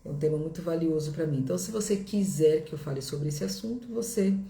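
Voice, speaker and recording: A woman's voice: sits low, quick pace, vocal fry, warm tone. A middle-aged woman from Brazil with a Brazilian Portuguese accent, an English teacher giving a video lesson in a reassuring, repetitive way that keeps checking in with the viewer. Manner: reassuring, repeats herself, checks in with "ok?". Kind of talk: direct-to-camera video lesson. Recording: echoey room.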